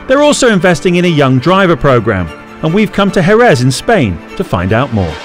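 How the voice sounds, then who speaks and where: Male reporter, voice-over narration, lively up-and-down pitch, fairly high, light music under. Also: a little fast. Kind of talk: scripted narration.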